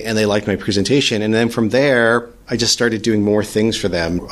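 A man speaking as an interview guest, telling his story in an earnest, flowing way. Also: fairly low pitch. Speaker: guest, podcast producer. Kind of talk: conversational interview answers.

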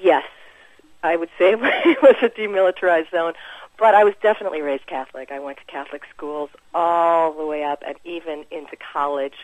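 A musician being interviewed on the radio, with a mid-range voice. They speak in a reflective, warm manner and laugh easily. The sound is dry and close, with no room echo. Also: medium pitch, fairly quick. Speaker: musician guest. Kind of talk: conversational interview.

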